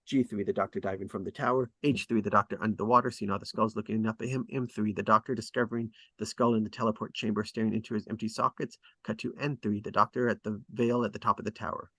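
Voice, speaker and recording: Low-voiced man reading stage directions, very dry sound. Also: steady tone, quick pace, few pauses, a bit bright, no echo. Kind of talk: scripted narration at a table read.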